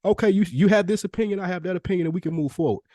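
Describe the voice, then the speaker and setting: A man's voice: high voice, racing, dark tone, no room sound, studio quality. A man co-hosting a podcast in casual, earnest talk, using slang and profanity and often voicing imagined quotes. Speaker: podcast co-host.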